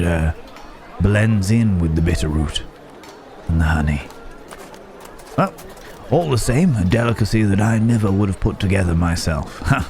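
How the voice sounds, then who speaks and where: An actor playing a lonely, courteous werewolf traveller in a fantasy ASMR roleplay, musing hesitantly in old-fashioned phrasing. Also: male voice, low-pitched, darkish tone. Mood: appreciative, curious. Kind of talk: immersive ASMR roleplay, improvised-sounding monologue. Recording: outdoor market crowd noise behind voice. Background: bustling village market ambience.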